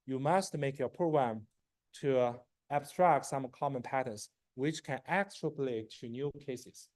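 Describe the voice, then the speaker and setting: Brief: man, 40s, native Chinese speaker, university lecturer; warm, relaxed voice, dry close sound, halting delivery with lots of "uh" and "right?". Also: medium pitch, no room sound. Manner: halting, many fillers and restarts, asks "right?" often; frequent throat clearing. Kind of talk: classroom lecture.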